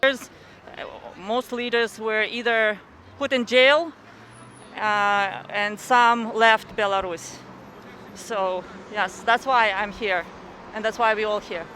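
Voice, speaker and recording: A man's voice: high voice, steady tone, slow pace, sharp tone. A man giving his opinion off the cuff in an outdoor interview, with street noise. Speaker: rally participant giving an opinion. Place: outdoors at a city rally in Canada.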